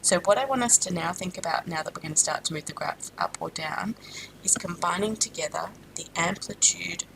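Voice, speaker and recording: A woman from Australia talks through a maths lesson, her nose stuffed up from a sinus infection. Her voice sits low with a gravelly edge, and she explains steadily with frequent ums and self-corrections. A rumbling background noise runs under the recording. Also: a little fast. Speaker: maths teacher.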